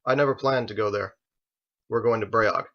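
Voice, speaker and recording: A man voicing a calm, resolute master in an audiobook story, speaking in a measured, firm, matter-of-fact way. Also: fairly low pitch, dull audio, natural voice. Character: calm, resolute male master, patient and grave.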